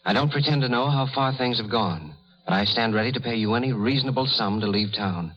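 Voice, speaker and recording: A man's voice: mid-range voice, fairly quick, lightly acted. An actor playing an earnest, well-bred young rich man's son in an old radio drama, formal and educated, with a slight stammer. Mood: stiff, accusing. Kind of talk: 1930s radio drama acting. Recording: muffled vintage radio broadcast.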